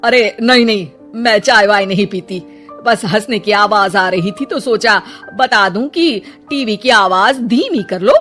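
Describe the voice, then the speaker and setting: A woman voicing a haughty, jealous, boastful elderly mother-in-law in an audio story, loud and emphatic. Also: mid-range voice, a little slow, soft background music, close to an everyday voice.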